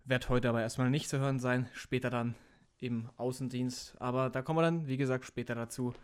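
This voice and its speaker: A man's voice: mid-range voice, steady tone, a little fast, clean tone. Twenties male interviewer, hesitant questions full of fillers. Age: 20s. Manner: hesitant, many fillers when asking questions. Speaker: podcast co-host and interviewer.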